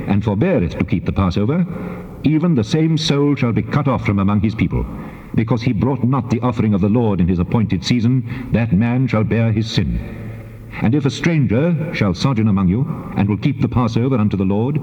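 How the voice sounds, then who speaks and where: A man's voice: medium pitch, close to an everyday voice. Man voicing solemn God in cavernous reverb. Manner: stately, measured, authoritative. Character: God, solemn commanding male deity giving laws. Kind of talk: dramatized scripture reading. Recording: dull cassette tape, long cavernous reverb.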